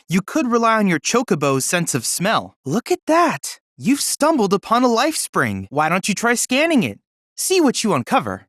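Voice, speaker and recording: An actor playing a polite, earnest boyish android research assistant in a video game, with formal, precise, bookish diction. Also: male voice, sits high, fairly bright tone, no room sound, clean studio sound, full character voice. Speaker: game character, research guide. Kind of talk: scripted video game voice acting.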